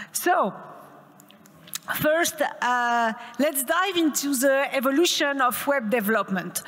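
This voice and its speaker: A woman's voice: high voice, fairly bright tone. A woman with a French accent gives an upbeat tech conference talk and puts questions to the audience. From France. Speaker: conference speaker. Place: conference hall. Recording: reverberant hall.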